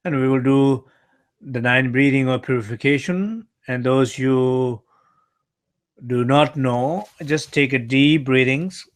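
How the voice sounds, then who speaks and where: A man who is not a native English speaker, guiding a meditation as a teacher in gentle, halting short phrases with pauses. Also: medium pitch. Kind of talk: guided meditation instruction.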